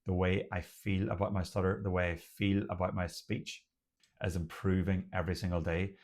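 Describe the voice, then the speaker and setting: A young Irish man, a doctor who hosts his own channel, talking slowly and openly with a stutter and deliberate pauses. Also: deep voice, level tone, darkish tone. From Ireland. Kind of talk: personal talk to camera.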